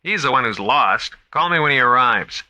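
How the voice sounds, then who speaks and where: A man voicing a gruff, sarcastic male security guard in an audiobook reading. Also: mid-range voice, lively intonation, moderate pace, pushed and bright, dry recording, dull audio, voice pushed up. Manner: sarcastic, dismissive.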